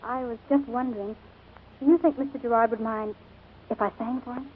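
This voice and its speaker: A plucky young American played by a woman in a 1940s radio play. She talks fast in a highish voice, earnest and stubborn, on a tinny, noisy recording. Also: steady tone, close to an everyday voice. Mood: hopeful, polite. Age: young adult. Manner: earnest, polite but stubborn. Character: eager, plucky young sister hoping to sing.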